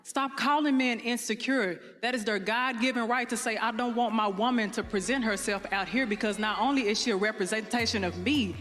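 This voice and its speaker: A woman's voice: fairly high pitch, fairly quick, few pauses, a bit bright. A woman giving her opinion on a panel in a firm, didactic way. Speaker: panelist in a discussion video. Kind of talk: opinionated discussion. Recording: echoey hall, long reverb.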